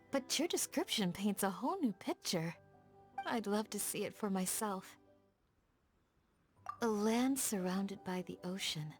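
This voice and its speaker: Woman acting a dutiful village guardian, young woman, soft-spoken, measured, courteous, earnest, video game dialogue, medium pitch. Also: lightly acted. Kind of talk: scripted game dialogue, storytelling.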